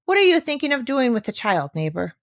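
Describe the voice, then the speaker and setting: A woman's voice: on the high side, big pitch swings, brisk, dry recording, clean studio sound, pitched above the performer's own voice. A woman voicing a kindly old village pastor in a storybook, earnest and formal in manner. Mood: reproachful.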